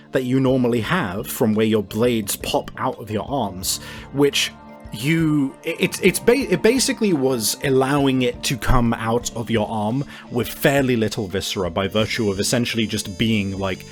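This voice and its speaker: A man improvising tabletop game narration as game master, descriptive and animated, often halting to find his wording. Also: medium pitch.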